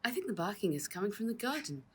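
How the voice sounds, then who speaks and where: Woman reading as a nervous, determined girl, pitched up. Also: sing-song, fast talker, a little hiss or hum, lightly acted. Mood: urgent, worried. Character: young girl, nervous but determined, in a children's storybook.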